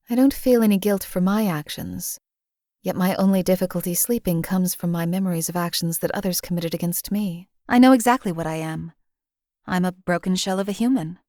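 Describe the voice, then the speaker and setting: A woman voicing a numb, detached female hired killer in a game, who talks in a measured, dry way. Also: lowish voice, a little mellow, dry recording, lightly acted. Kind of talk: video game companion voice lines.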